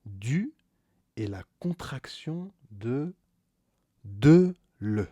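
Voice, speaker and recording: A man teaching a scripted beginner grammar lesson, slowly repeating each key word and stressing it with a rise. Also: medium pitch, lively intonation, mellow, no echo, clean studio sound. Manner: slow, deliberate, repeats key words with stressed rises. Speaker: French teacher.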